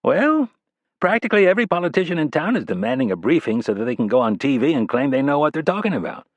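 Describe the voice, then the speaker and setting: Joking ex-marine desk boss, voiced by the audiobook reader, brighter and louder than the narration, ribbing and animated. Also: male voice, fairly high pitch, fast, dry recording, muffled recording, pitched above the performer's own voice. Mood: teasing. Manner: jokey, teasing smartass. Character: wisecracking middle-aged male spy boss, ex-Marine.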